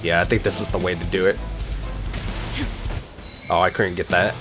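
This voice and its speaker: A man's voice: deep voice, level tone, normal speed. A man giving casual, unscripted gameplay reaction commentary as a streamer, musing aloud as he plays. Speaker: gaming streamer. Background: game music.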